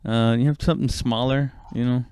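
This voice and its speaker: A man's voice: mid-range voice, fairly even intonation, a little fast, a little mellow, clear, resonant tone, no room sound. A man giving a casual, rambling comedic monologue, self-deprecating and swearing freely. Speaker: comedian and podcast host.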